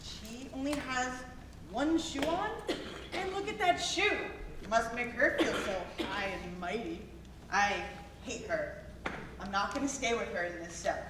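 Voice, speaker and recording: Woman acting defiant young tough woman, high-pitched, roomy. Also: unhurried, natural voice. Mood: scornful, combative. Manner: indignant, combative, protesting. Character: tough, defiant twenty-five-year-old tattooed woman arrested. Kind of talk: staged reading of a stage musical. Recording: roomy, distant mic, noisy live hall.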